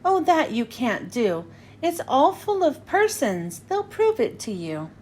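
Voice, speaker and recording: A woman's voice: sits high, very animated, slow, a little mellow, slight background noise, full character voice. A woman voicing an earnest, kind-hearted male elephant in a storybook, pleading urgently and emphatically. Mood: alarmed.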